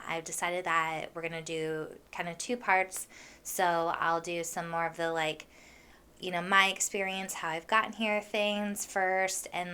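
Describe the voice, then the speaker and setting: A young woman hosting a casual podcast, chatting with a froggy, hoarse voice from allergies. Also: on the low side, sharp tone. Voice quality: froggy, husky voice. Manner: chatty, with many fillers and laughs. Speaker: podcast host. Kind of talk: casual solo podcast chat.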